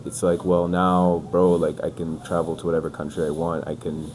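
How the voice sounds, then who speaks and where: A man's voice: low-pitched, normal speed. A man in his twenties from the UK, a podcast guest talking casually and loosely, full of "like" and "bro". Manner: relaxed, rambling, says "like" and "bro" a lot. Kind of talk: casual chat. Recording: noisy background.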